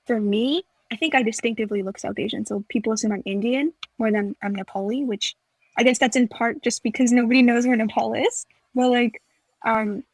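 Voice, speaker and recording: A woman's voice: a little high, fairly even intonation, brisk, no room sound, low-quality recording. A young woman co-hosting a podcast, chatting casually with lots of fillers and acting out remembered conversations. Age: young adult. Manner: chatty, filler-heavy, acts out quoted exchanges. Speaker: podcast co-host. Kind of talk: casual chat.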